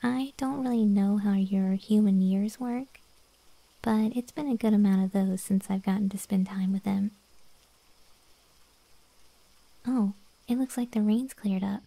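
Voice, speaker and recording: A woman playing a gentle, lonely young gargoyle guardian in an ASMR roleplay, speaking in a soft, breathy, intimate whisper. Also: medium pitch, dry recording. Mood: gentle, warm.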